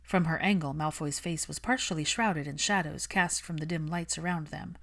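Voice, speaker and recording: Female narrator for fiction audiobook, low register, scripted storytelling. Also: normal speed, creaky, fairly bright tone.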